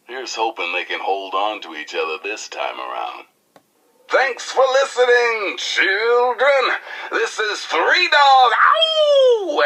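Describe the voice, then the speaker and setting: A man's voice: lowish voice, pushed and bright, husky, full character voice, rough recording. A male actor playing a flamboyant, irreverent radio DJ in a post-apocalyptic game, with an American accent, a gritty voice and theatrical, wisecracking patter. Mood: exuberant, showy. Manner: theatrical, wisecracking, folksy, drawn-out and punchy. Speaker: radio DJ and newsreader. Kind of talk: showy radio DJ patter and mock newscast.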